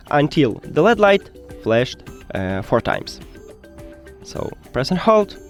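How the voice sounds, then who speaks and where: Man with lively, swooping pitch. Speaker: tech tutorial host. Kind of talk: step-by-step instructional narration.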